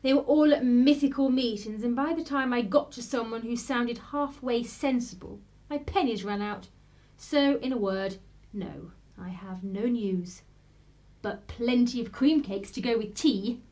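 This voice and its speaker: A woman's voice: fairly high pitch, a little slow, warm tone, close to an everyday voice. An actress playing a genteel, posh English woman, well-spoken, gracious and wry, in a radio drama. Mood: wry, conversational. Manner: well-spoken, wryly humorous.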